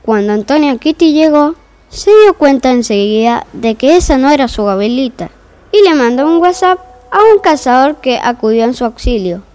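A woman's voice: sits high, lively intonation, moderate pace, a little mellow. A woman playing an exasperated, bossy narrator in a comic fairy-tale audio drama. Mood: storytelling. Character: exasperated, bossy storyteller wrangling unruly characters.

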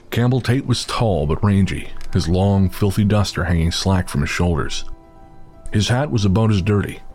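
A man narrating a scripted horror audio drama in a measured, steady way. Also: low voice. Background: music.

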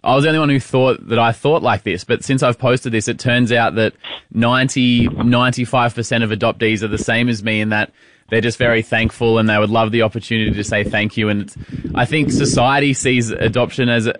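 A man hosting a call, gently and reflectively asking questions and sharing his own view as an adoptee. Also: mid-range voice, steady tone, brisk, no room sound. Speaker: host and adoptee. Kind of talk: casual interview on a call.

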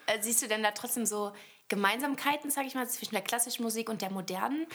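A woman's voice: on the high side, fast talker, fairly bright tone. A woman hosting a podcast, asking casual interview questions. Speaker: podcast host.

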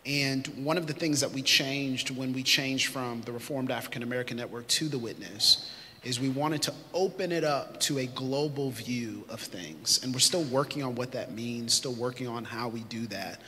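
A man's voice: mid-range voice, moderate pace, bright, forceful tone. A man moderating a live conference panel, in a preacherly way that rallies the audience. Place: conference hall stage. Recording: echoey hall, faint noise.

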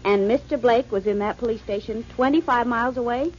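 A woman's voice: on the high side, a little fast, natural voice. An actress playing a playful, affectionate young woman companion in an old radio drama. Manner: bright, teasing. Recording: dull old radio broadcast with hiss.

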